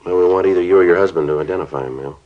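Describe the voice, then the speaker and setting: Actor as terse American police detective, man, fast clipped deadpan speech, dull old radio recording, crime drama. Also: low-pitched, lightly acted. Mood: solemn, restrained. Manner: flat, deadpan, businesslike. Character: terse, matter-of-fact Los Angeles police sergeant.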